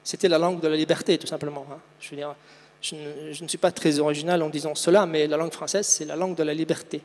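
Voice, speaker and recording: A man from Tunisia with a fairly high voice speaks as a guest author on a panel. He talks haltingly, circling back over words, in a hall with long reverb. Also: level tone, a little mellow. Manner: hesitant, halting, repeating words. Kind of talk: spontaneous answers at a public book panel. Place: large hall at a book fair.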